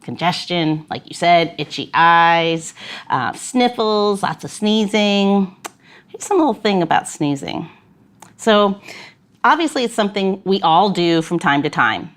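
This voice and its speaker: A woman's voice: fairly low pitch, animated, unhurried, fairly bright tone. A woman in her forties hosting a podcast, joking and giggling through casual chat. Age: 40s. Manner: giggly, jokey, playful. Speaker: podcast host.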